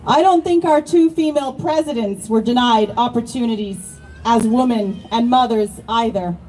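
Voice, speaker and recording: High-voiced woman giving a rally speech. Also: normal speed, mellow, distant mic, low-quality recording. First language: not born in Ireland, long resident. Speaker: rally speaker. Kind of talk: prepared speech at outdoor rally.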